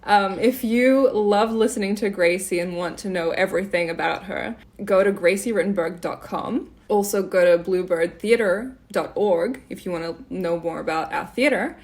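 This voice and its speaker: A woman's voice: medium pitch, moderate pace, hardly any pauses, darkish tone. North American woman, casual podcast host.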